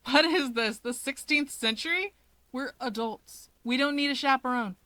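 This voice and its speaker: A woman's voice: high-pitched, normal speed, bright, forceful tone, dry recording, voice pushed up. A woman voicing a shameless, teasing young man, a cocky younger brother in a fan story, talking emphatically. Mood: cocky, indignant.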